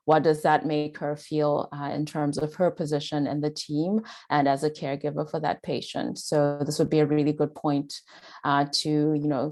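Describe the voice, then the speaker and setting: A woman's voice: sits low, dark tone. A woman with a Zimbabwean British accent giving a faculty presentation, speaking earnestly with frequent "uh" and "um". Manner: earnest, filler-heavy delivery with frequent "uh" and "um".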